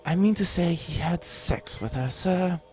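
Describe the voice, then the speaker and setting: A man's voice: fairly high pitch, measured pace, a little mellow, faint music behind, close to an everyday voice. Man playing a sardonic, long-suffering henchman. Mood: deadpan correction. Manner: sarcastic, deferential "sir" at sentence ends. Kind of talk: comedic space-opera audio drama sidekick.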